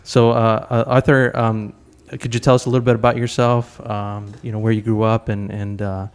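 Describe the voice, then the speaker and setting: A man's voice: fairly low pitch, little pitch movement, normal speed, clean tone. A man in his thirties hosting a podcast from home, eager and friendly, often stumbling and repeating words as he asks questions. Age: 30s. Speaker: podcast host. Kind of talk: casual interview chat.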